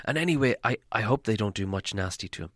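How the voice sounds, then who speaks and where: A man's voice: on the low side, animated, fast, a bit bright, no room sound, clean studio sound. A man voicing a timid, peevish little hobbit from a storybook, muttering and grumbling in a put-upon way. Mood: worried. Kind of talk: storybook character voice.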